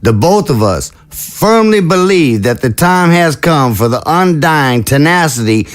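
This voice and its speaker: A man playing a stately veteran president giving a deliberate, oratorical political speech in an audio drama. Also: a little high, expressive. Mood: proud, ceremonial.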